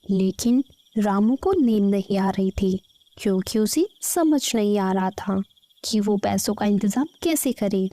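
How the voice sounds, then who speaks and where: A woman's voice: medium pitch, expressive, measured pace, warm tone. A woman narrating a scripted bedtime story.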